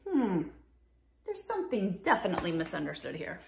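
A woman's voice: lowish voice, normal speed, creaky, echoey room, slight background noise. A middle-aged woman reading a picture book aloud to young children, expressively, stopping to ask them questions. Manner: expressive, asks the listeners questions. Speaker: read-aloud storyteller. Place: small bedroom indoors.

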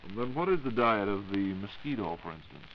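An American man hosting a scripted 1940s radio question-and-answer show in a formal, measured announcer's delivery. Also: lowish voice, expressive. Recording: dull, noisy 1940s radio recording.

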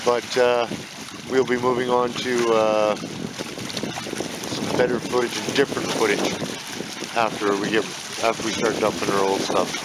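A man's voice: fairly low pitch, flat delivery, unhurried, pauses often. Man, fishing vlogger, upbeat, chatty, outdoors on rocky shore, heavy wind noise. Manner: chatty, upbeat, many fillers. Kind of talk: casual chat to camera. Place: rocky lakeshore outdoors, windy. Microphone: camera mic in strong wind. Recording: loud wind and waves on mic.

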